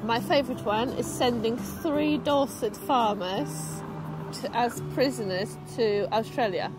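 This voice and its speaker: A woman's voice: fairly high pitch, unhurried, over music, low-quality recording. A woman in her thirties chatting casually on a town walk, trading easygoing, teasing banter with her travel partner. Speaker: motovlogger. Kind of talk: casual travel vlog chat. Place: outdoors in town streets.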